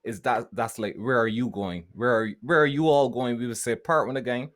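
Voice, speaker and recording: A man, co-hosting a podcast over a video call from home and explaining slowly, repeating words as he thinks. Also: medium pitch. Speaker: podcast co-host. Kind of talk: casual chat.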